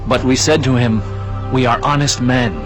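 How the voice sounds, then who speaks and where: A male actor as an honest brother defending himself in a Bible audio drama. He is earnest and insistent, mid-pitched, with music clearly behind him. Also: steady tone, normal speed, natural voice. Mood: earnest, defensive. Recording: music under the voice.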